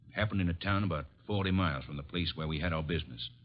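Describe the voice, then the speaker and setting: A deep American male voice of an actor playing a big, grim, stubborn man out for revenge. It comes through a muffled old radio recording, in the style of 1940s crime drama. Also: rapid-fire, a little hiss or hum, natural voice. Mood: brooding recollection.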